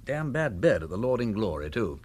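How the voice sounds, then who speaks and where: A man playing a flippant upper-class English gentleman detective in a radio drama, with a jaunty, slangy drawl. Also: lowish voice, big pitch swings, brisk, slightly rough. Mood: breezy, teasing. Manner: jaunty drawl full of old-fashioned slang.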